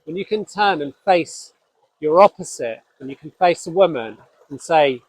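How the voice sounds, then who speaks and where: Scottish-born male host, no accent, earnest and halting, fairly high pitch. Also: animated, poor audio. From Scotland. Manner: earnest, halting, with frequent restarts. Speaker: spiritual podcast host. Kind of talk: reflective solo talk.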